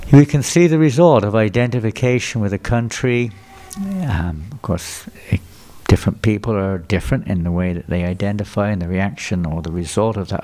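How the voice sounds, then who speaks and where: A man's voice: low voice, a little mellow. Older man giving a chatty sermon. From England. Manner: conversational, questions the audience and repeats their answers. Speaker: visiting speaker at a temple. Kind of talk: sermon lecture. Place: temple hall before a live audience.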